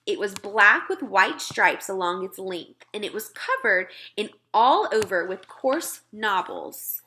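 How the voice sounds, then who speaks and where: A woman reading a children's storybook aloud in an expressive narrating style. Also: medium pitch, takes it slowly, a little hiss or hum. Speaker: storybook reader.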